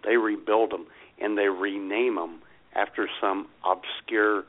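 A man co-hosting a casual political talk radio show, sardonic and quick with joking asides. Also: lowish voice, steady tone. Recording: tinny phone-like radio audio.

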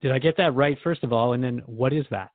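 A male radio host with a mid-pitched voice, heard through dry, phone-like audio. He interviews in a conversational way, curious and a little tentative, thinking aloud as he puts his questions. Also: quick pace, dry recording.

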